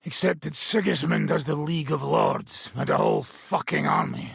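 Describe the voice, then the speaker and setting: A gruff medieval mercenary leader for a video game. His voice is extremely husky and full of gravel, and it swings widely in pitch. He is blunt, sardonic and swears freely, like a soldier hardened by many battles. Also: lively intonation, normal speed, a bit bright, dry recording, studio quality, exaggerated voice. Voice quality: raspy, gravelly. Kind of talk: video game character dialogue.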